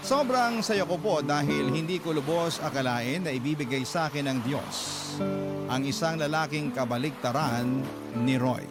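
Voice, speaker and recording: Filipino male narrator over loud music. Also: a little high, normal speed. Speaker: narrator reading a letter. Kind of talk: dramatic scripted narration.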